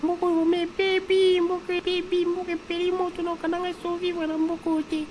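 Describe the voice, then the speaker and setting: A woman's voice: very high-pitched, level tone, a little fast, a little mellow, slight background noise. A Congolese woman voicing an emphatic young woman in an amateur cartoon, repeating her points. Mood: fed up. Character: fed-up young woman, football lover, longing to leave.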